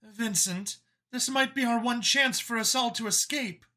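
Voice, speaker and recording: Man putting on high, earnest anxious mother. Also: measured pace, bright, forceful tone, full character voice. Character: Vincent's mother, earnest, anxious woman, pleading softly. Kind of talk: audiobook character voice in science fiction story.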